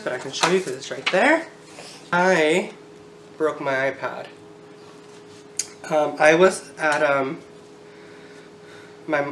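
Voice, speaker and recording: A thirty-year-old woman chatting casually to the camera in a candid, informal, sometimes sweary way. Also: sits low. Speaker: video blogger. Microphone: camera on a tripod. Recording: roomy echo, background noise.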